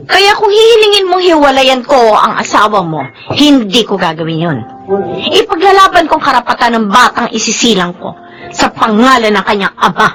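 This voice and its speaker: An actress playing a defiant pregnant young mistress in a Philippine radio soap. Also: female voice, fairly high pitch, sing-song, normal speed, rough recording. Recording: loud music behind the voice.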